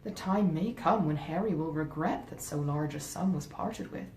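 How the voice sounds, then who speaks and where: A woman voicing an earnest, somewhat pompous gentleman husband who is easily swayed, in a classic novel reading. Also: moderate pace, lightly acted. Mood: grave reasoning. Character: grave, well-meaning but easily swayed gentleman husband. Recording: roomy echo, faint rumbling noise.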